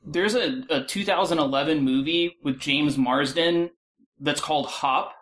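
A man's voice: fairly high pitch, measured pace, fairly bright tone. A man speaking casually and bluntly as a panelist in a loose discussion.

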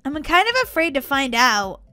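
A woman's voice: high voice, sing-song, normal speed, bright, forceful tone. A woman hosting a gaming video with exclamatory, giggly, kid-friendly commentary. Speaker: gaming channel host. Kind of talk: playful gameplay commentary. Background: background music.

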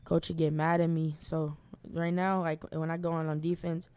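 Hesitant teen boy, smooth dry voice. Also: a little high, level tone, normal speed, a little mellow, no room sound. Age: teens. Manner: hesitant, starts answers with "um". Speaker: high school football player, guest. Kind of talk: casual pregame interview.

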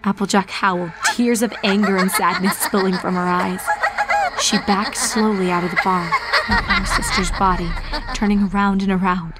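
A woman's voice: medium pitch, animated, normal speed, heavy background noise. A woman reading a horror story aloud as a dramatic scripted narration. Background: music.

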